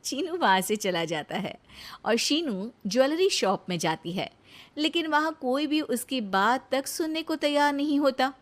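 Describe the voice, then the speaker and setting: A woman's voice: sits high, expressive, a little slow. A woman giving scripted narration of a cartoon story as its narrator.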